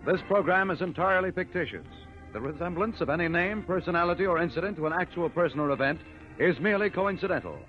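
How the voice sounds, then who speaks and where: A man's voice: high-pitched, fast, natural voice. A man narrating a 1950s radio adventure serial in a dramatic, declamatory way. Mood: formal. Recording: dull old radio recording with loud music.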